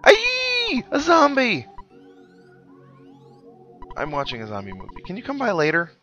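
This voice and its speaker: A man's voice: extremely high pitch, wildly swooping pitch, measured pace, pushed and bright, voice pushed up, exaggerated voice. A man voicing a jumpy, exclaiming video game townsperson who startles easily. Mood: startled. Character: jumpy townsperson glued to a zombie movie. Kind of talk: video game dialogue read in character. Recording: dull lo-fi mic, game music underneath.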